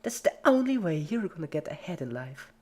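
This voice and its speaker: Someone putting on a chirpy, sadistic party pony, pitched high. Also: big pitch swings, a little fast, a little mellow. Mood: chipper, reassuring. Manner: chirpy, jokey, playful while menacing. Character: giggly, sadistic female party pony, relentlessly cheerful.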